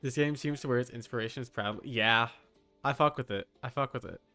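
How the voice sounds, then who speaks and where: A man's voice: medium pitch, fairly quick, sharp tone, soft background music. A man chatting casually and swearing as he livestreams while setting up a game character. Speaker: streamer.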